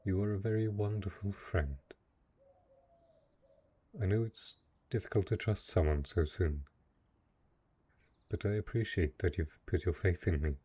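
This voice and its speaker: Man playing a deep, husky, brooding dark knight. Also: a little slow, long pauses. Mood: earnest, vulnerable. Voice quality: husky, low voice. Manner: hesitant, earnest, formal phrasing. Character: lonely, possessive dark knight, lord of a forest castle. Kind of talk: dark fantasy romance audio roleplay.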